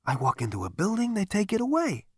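A man voicing a cocky, indignant, excitable man in a brash, exclaiming way, in an audiobook. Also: high voice, fairly quick, dry recording, studio quality, pitched above the performer's own voice, exaggerated voice. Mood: baffled, indignant. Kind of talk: audiobook character voice.

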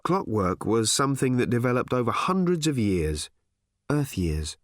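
A man's voice: medium pitch, sing-song, moderate pace, no room sound, clean studio sound, lightly acted. A man voicing an awkward, mild father in an audiobook, bewildered and stammering as he reasons with his daughter. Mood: explaining mildly. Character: bewildered, well-meaning, awkward father of a teenage girl.

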